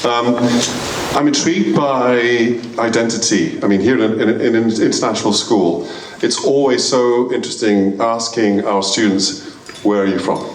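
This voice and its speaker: A man's voice: medium pitch, normal speed, a little hiss or hum. Distant moderator man in an echoey hall. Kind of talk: hosting a stage Q and A. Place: school auditorium.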